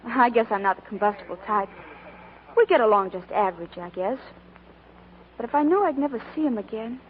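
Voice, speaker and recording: Young, loyal working girl played by an actress, plainspoken American, higher voice, 1940s radio drama on a muffled old broadcast. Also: animated, a little fast, a little hiss or hum, lightly acted. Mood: wistful, sincere. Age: young adult.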